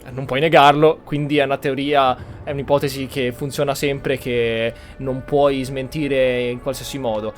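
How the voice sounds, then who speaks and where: A man's voice: mid-range voice, little pitch movement, moderate pace, hardly any pauses. An Italian man giving casual gameplay commentary, musing aloud as he plays. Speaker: gaming channel host. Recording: game music behind the voice.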